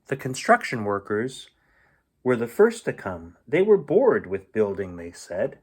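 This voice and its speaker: A man reading a children's storybook aloud in an expressive, playful storytelling manner. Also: mid-range voice, a little slow, breathy edge. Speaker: bedtime story reader.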